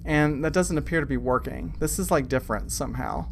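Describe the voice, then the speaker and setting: A man running a tabletop game, improvising narration in a halting, conversational way. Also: mid-range voice, lively intonation, vocal fry, slight background noise. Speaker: game master.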